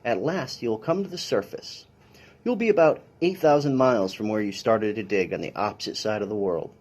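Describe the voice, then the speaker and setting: Casting: man with a lower, husky voice, reading a picture book to children, instructional and a little dry. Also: a little fast, a little mellow. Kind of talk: read-aloud of a children's science picture book.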